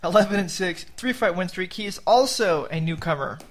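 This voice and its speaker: Male podcast co-host, high voice, playful and teasing, casual. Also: lively intonation, a little fast. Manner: joking, teasing, laughs at own jokes. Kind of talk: casual sports chat.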